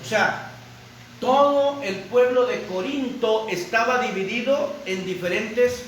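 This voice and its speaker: A high-voiced man preaching emphatically at the front of a small church, heard from the back pews through heavy echo, repeating phrases and dropping in amens. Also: takes it slowly. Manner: emphatic, repeats words, punctuates with amens and rhetorical questions. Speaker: pastor. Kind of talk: sermon, expository preaching with Bible readings. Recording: very echoey room, distant mic, background noise.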